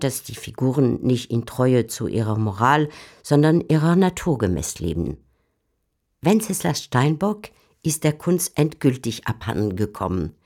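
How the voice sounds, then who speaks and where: A woman's voice: a bass voice, a little mellow. A woman narrating and commenting on a literary story in a scripted radio drama.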